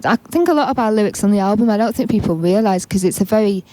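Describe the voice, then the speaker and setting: Mellow-voiced woman with a Manchester accent. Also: mid-range voice, fast. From Manchester, England. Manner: relaxed, chatty, frequent "you know". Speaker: musician guest. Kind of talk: casual interview answers.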